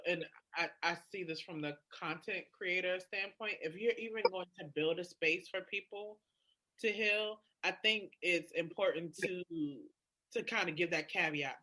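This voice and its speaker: Bright-voiced woman in podcast chat. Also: lowish voice. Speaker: podcast co-host. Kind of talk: candid casual podcast conversation.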